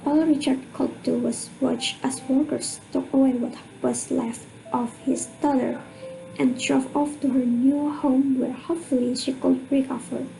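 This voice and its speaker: Radio drama narrator: woman, high creaky voice, scripted storytelling. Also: dark tone, poor audio. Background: music.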